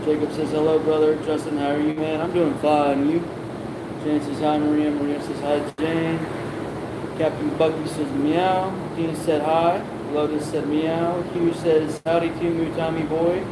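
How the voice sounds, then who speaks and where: A man's voice: on the high side, normal speed. Offhand man reading live chat aloud. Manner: reads chat comments aloud in a relaxed, offhand way. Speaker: live-stream host reading viewer chat. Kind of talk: casual live-stream chat. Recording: echoey workshop with loud background noise.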